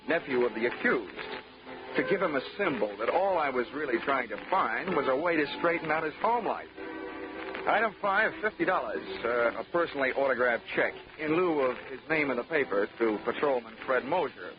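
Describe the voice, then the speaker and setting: A hard-boiled man narrating his case with wisecracks in a 1940s radio style. His voice sits in a middle pitch, and the recording is dull and old, with music underneath. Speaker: insurance investigator narrator.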